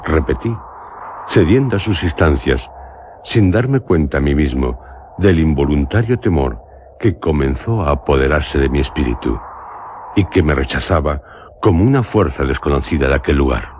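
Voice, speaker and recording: A man with an accent from Spain playing an educated traveller who narrates a gothic tale in a solemn, measured, literary radio drama manner. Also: rumbling low, lively intonation, background noise. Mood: uneasy. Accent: Spanish from Spain.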